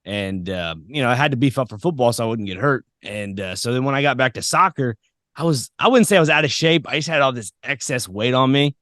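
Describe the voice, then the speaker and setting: A man's voice: medium pitch, animated, fast, fairly bright tone, dry recording, studio quality. A man hosting a podcast in a casual, informal, anecdotal chat style. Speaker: podcast host.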